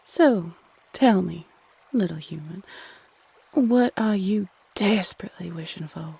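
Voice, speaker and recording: A woman playing a flirty, playfully condescending female Cupid in an intimate roleplay audio, sultry and coy with drawn-out phrasing. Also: mid-range voice, creaky, dark tone, breathy edge, full character voice. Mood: teasing, coaxing.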